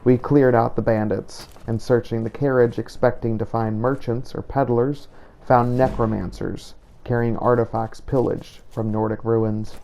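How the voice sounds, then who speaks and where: A man narrating a scripted first-person fantasy journal as a grave, duty-torn vampire hunter, solemn and measured. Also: medium pitch, flat delivery, creaky, dark tone, a little hiss or hum. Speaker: game player narrating a roleplay playthrough.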